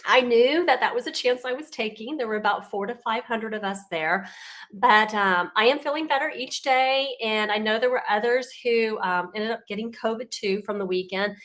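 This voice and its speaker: Raspy, congested woman demonstrator. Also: a little high, normal speed. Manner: chatty and warm, with frequent ums. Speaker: card-making demonstrator. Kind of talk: casual craft tutorial.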